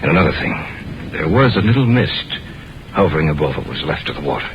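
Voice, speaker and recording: An actor playing a troubled, earnest research biologist with a weighty, emphatic delivery in a 1950s radio drama. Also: male voice, very deep voice, sing-song, quick pace, heavily acted. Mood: insistent, grave. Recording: muffled, noisy old radio recording.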